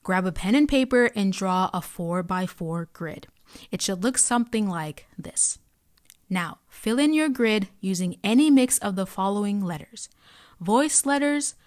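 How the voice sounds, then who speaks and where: A woman's voice: mid-range voice, no echo, studio quality. A woman giving a scripted language lesson as a tutor, guiding pronunciation practice with carefully enunciated examples. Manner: clear instructional delivery, carefully enunciating example sounds.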